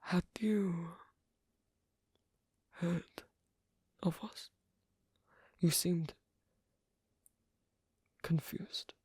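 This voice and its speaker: A performer playing a shy, bookish, isolated swan king in intimate ASMR roleplay, speaking halting English with a foreign accent in a creaky half-whisper. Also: mid-range voice, steady tone, darkish tone, dry recording, exaggerated voice. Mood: shy wonder. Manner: halting, hesitant, clipped non-native phrasing.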